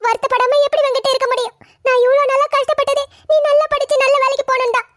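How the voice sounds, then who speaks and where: A woman's voice: extremely high pitch, little pitch movement, fast talker, fairly bright tone. A woman playing a long-suffering mother of a grown son, lamenting plaintively in a melodramatic drama serial. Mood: tearful grief.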